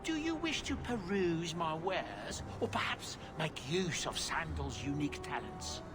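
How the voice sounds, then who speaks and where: High-voiced, florid dwarf merchant. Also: male voice, sing-song, measured pace, music in the background. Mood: proud, courteous. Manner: florid, salesman-like politeness. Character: cheerful, courteous dwarf merchant, proud father. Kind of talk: video game voice acting.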